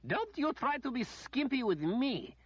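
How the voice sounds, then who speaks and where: Shrill haughty noblewoman as a male storybook reader puts her on, shrieking, very high, muffled dry sound. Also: big pitch swings, normal speed, husky, exaggerated voice. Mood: imperious. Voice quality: shrieking, petulant.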